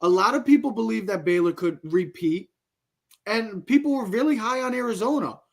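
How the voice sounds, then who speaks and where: A man's voice: high-pitched, lively intonation, moderate pace, gravelly. A man co-hosting a sports podcast in a casual style, building his points in short, repeated phrases. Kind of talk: casual sports talk, commentary.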